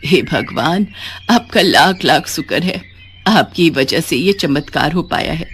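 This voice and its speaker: A woman's voice: sits low, expressive, a little slow, a little hiss or hum. A woman voicing a kind, forgiving elderly village mother in a moral story, tender and pleading. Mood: grateful. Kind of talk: animated moral story dialogue.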